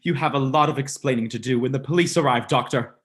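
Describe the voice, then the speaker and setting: A student actor playing an earnest, protective, suspicious gentleman in a melodramatic vintage radio mystery. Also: medium pitch, quick pace, lightly acted. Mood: angry, accusing.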